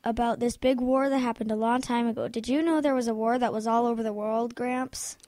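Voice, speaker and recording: A woman's voice: fairly high pitch, fairly even intonation, brisk, warm tone, dry recording, natural voice. A woman voicing an eager, curious schoolboy grandson in a radio drama.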